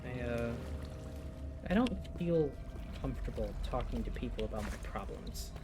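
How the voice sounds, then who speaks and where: Soft-spoken young Midwestern man. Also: medium pitch, expressive, normal speed. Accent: Midwestern American. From United States. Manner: soft, reflective, rambling with frequent "you know". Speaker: gaming vlogger. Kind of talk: casual confessional chat while playing. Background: loud game music.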